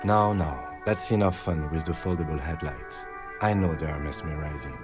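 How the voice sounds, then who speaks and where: An actor playing a patient, precise, reproving partner in a video game, with a dry and controlled manner. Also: male voice, extremely low pitch, normal speed. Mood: mildly chiding. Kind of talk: video game character acting. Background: music.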